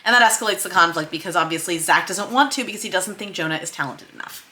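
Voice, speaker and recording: A woman's voice: on the low side, fast, sharp tone. A woman hosting a writing tutorial, explaining conversationally and reading excerpts aloud, leaning on key words for emphasis. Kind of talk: explanatory screen-share lesson, reading script excerpts aloud.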